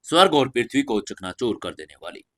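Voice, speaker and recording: A man voicing a powerful, defiant young sword fighter in a fantasy audio story, declaiming forcefully. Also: medium pitch, very animated, brisk. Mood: battle cry. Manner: forceful, declaiming attack names.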